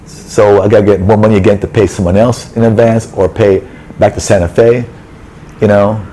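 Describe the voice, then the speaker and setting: A man's voice: lowish voice, normal speed, rough recording. A man venting in a casual storytelling rant, acting out quoted back-and-forth with exasperation. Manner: exasperated, animated retelling with quoted dialogue. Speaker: travel vlogger. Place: apartment building in a city.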